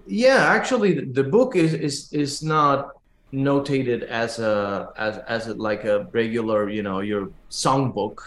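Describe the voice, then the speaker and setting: A man from Argentina with an Argentine accent, a native Spanish speaker, answering as an interview guest in a halting, conversational way with repeated words. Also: medium pitch, a bit bright. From Argentina, raised in Buenos Aires. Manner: halting, repeats words as they search for phrasing. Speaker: musician guest, pianist and teacher. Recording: echoey room sound.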